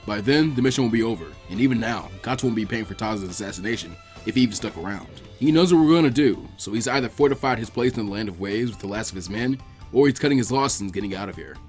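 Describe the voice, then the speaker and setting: Gruff ninja commander for storybook narration, voiced by a man, casual and blunt, talking fast. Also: fairly low pitch, soft background music, voice pushed down, lightly acted. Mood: blunt, assured. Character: gruff, matter-of-fact male ninja squad leader.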